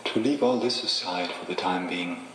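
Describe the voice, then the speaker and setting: A man leading a guided meditation as a teacher, speaking in an unhurried, gently instructing way with pauses. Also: low voice. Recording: echoey reverberant room with faint noise.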